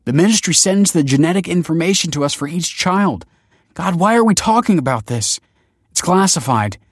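A man's voice: lowish voice, normal speed, breathy edge, dry recording, studio quality. A man voicing a firm, tense married woman in an audiobook, insistent and emphatic. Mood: snapping, alarmed. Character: firm, tense wife, a fertility director.

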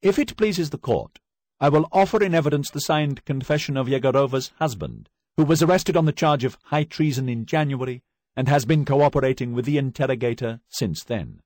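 Stern male Soviet prosecutor, loud and formal, raised high pitch, read by a man. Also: brisk, dry recording, natural voice. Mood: accusing. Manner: loud formal accusing speech.

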